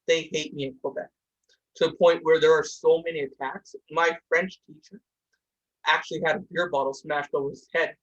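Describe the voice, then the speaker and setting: A man's voice: a little high, steady tone, a bit bright, dull audio. A young man hosting a podcast from a room at home, talking heatedly with many restarts. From India. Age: young adult. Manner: heated, talks fast with restarts. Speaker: podcast host. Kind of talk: casual discussion.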